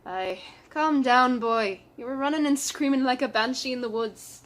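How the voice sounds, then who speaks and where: A woman voicing a strong male dwarf villager, firm and gruffly reassuring, in a fantasy story. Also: high voice, moderate pace, a bit bright. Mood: firm, calming.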